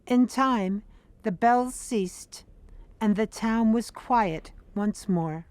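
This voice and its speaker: A woman narrating an audio drama as a scripted story, in a deliberate, measured manner. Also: a little high, a little mellow, no room sound.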